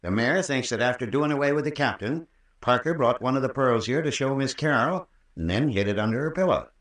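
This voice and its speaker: An actor playing a folksy, patient, long-winded country doctor with a rural American accent in an old-time radio drama. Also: male voice, medium pitch, animated, a bit bright, close to an everyday voice. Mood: patient recounting. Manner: folksy, unhurried, patient storytelling. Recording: roomy, distant old radio recording.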